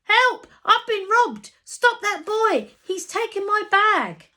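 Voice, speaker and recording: A woman's voice: very high voice, sing-song, pushed and bright, voice pushed up, full character voice. A woman voicing an alarmed, outraged old lady shrieking for help in a children's storybook reading. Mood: panicked, outraged. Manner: shrieking, drawn-out cries for help.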